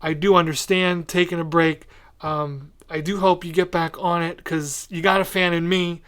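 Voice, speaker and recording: A man's voice: on the high side, little pitch movement, normal speed, fairly bright tone, smooth. A man hosting a podcast, chatting casually with a guest in an interview style. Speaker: podcast host.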